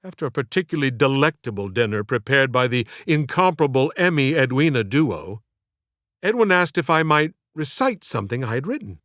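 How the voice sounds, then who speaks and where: A man reading literary scripted narration as an audiobook author, in a measured, theatrical way. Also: mid-range voice, sing-song, a bit bright, no room sound, clean studio sound.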